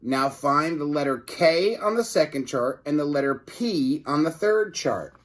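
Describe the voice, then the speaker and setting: A man's voice: fairly high pitch, animated, a little slow. A man voicing a gloating, bossy mad professor villain with a commanding, mockingly gleeful manner.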